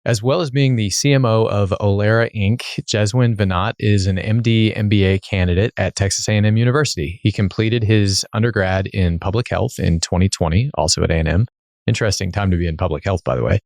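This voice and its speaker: A man's voice: on the low side, brisk, creaky, darkish tone, dry recording, clean studio sound. A man hosting a podcast, reading a guest introduction and asking short questions. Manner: reads the introduction, then short prompts. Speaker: podcast host. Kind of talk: scripted intro then interview.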